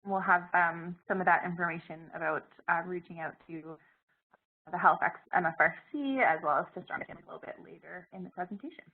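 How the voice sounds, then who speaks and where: A woman presenting an information session while she has a cold, her voice scratchy, pausing often with fillers. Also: on the low side, slightly rough. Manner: hesitant, many fillers and restarts. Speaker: presenter, program director. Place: Halifax, Canada. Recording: phone-like narrow audio.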